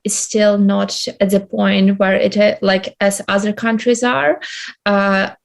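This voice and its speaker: A young woman from Ukraine, answering interview questions in a halting way with many hesitations. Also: mid-range voice, level tone, vocal fry. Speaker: guest.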